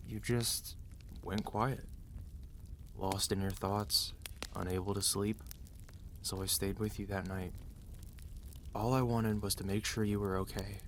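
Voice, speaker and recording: A man's voice: sits low, slight background noise, natural voice. A man playing a weary, protective mafia boss husband in an intimate ASMR roleplay, speaking tenderly and earnestly, as if confiding.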